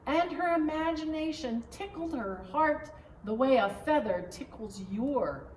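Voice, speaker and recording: Woman reading as a gentle storytelling dad, unhurried. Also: high-pitched, expressive. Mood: playful, patient. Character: gentle, playful father telling his daughter a bedtime-style tale. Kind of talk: picture-book read-aloud. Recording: roomy, distant mic, faint background noise.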